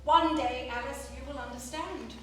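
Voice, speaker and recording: A woman's voice: sits high, normal speed, fairly bright tone. A woman playing a stern, weary and protective mother with a wounded manner, in a stage radio play. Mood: stern, hurt. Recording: echoey theatre, distant, music behind.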